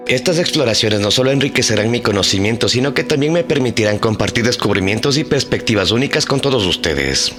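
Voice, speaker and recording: A man narrating a scripted mystery documentary as host, in a measured, formal and dramatic storytelling manner. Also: medium pitch, animated, a bit bright, soft background music.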